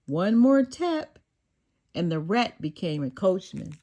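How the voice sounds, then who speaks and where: An older woman reading a children's storybook aloud, expressive and sing-song, with occasional small slips. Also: medium pitch, takes it slowly. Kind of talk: children's read-aloud narration.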